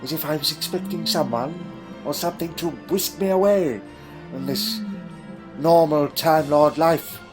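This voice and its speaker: Male actor as a troubled, proud Time Lord for audio drama; slightly high voice, old-fashioned wording, melancholy and guarded, over faint music. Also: a little slow, natural voice. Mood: wistful, haunted.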